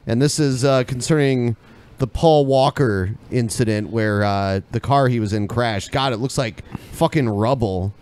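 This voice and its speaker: A man's voice: mid-range voice, unhurried, darkish tone, clean tone. A man co-hosting a podcast in casual, conversational style. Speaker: podcast co-host. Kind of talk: casual chat, show promotion.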